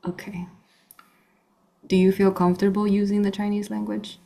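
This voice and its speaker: A young woman asking prepared interview questions clearly, as if reading from a script. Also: medium pitch, flat delivery, normal speed, warm tone. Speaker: student interviewer.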